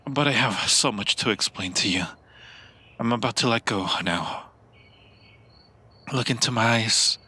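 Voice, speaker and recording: A man playing a centuries-old, yearning and possessive vampire in an improvised ASMR roleplay, speaking to the listener in an intimate, theatrical way with lingering dramatic pauses. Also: mid-range voice, pushed and bright, husky. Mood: hushed, urgent.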